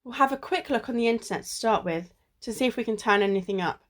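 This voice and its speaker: Woman playing bossy amateur detective, higher-pitched voice, teasing and exasperated, radio play. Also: fast, close to an everyday voice. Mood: businesslike. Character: bossy, exasperated woman sleuth, the sensible one. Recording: recorded at home in isolation.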